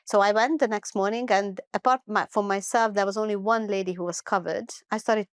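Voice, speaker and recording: A woman's voice: mid-range voice, steady tone, brisk, smooth, dry recording, clean studio sound. A middle-aged woman from Hungary, telling her life story as an interview guest in a reflective way, often restarting and correcting herself. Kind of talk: personal life story told in conversation.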